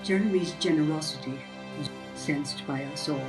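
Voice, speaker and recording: Female, very low voice, measured, echoey room, music underneath. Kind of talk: testimonial in a campaign video.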